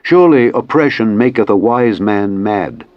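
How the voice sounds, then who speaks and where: A man narrating scripture in a solemn, measured and declamatory reading style. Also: lowish voice, lively intonation, no room sound, muffled recording.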